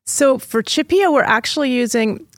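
A woman's voice: high-pitched, lively intonation, moderate pace, no echo, clean studio sound. A woman plant scientist, a guest on an interview podcast, explaining in a patient, detailed way.

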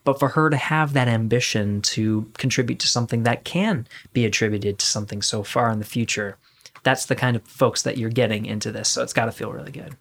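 A man's voice: medium pitch, fairly even intonation, brisk, creaky, a bit bright. Man hosting a conversational interview podcast. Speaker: podcast host.

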